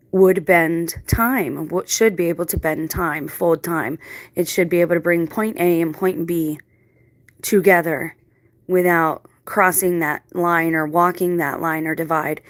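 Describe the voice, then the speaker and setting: Lower-voiced woman rambling to viewers. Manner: reflective, digressive, explanatory. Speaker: video channel host. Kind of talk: casual rambling monologue to viewers.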